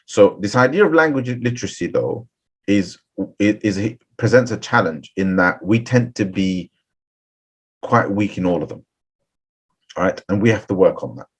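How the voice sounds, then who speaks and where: A man's voice: fairly low pitch, expressive, normal speed, husky. A middle-aged man, an Islamic scholar, giving a webinar talk of advice to seminary graduates, earnest and full of restarts. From Bengali background, seminary-trained. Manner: earnest, repeats and restarts phrases, asks "right?". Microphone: webinar, computer mic.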